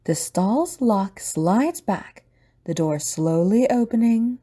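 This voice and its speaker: Mellow, husky woman narrating. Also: medium pitch, expressive, slow. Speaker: narrator and host. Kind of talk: scripted audio drama narration.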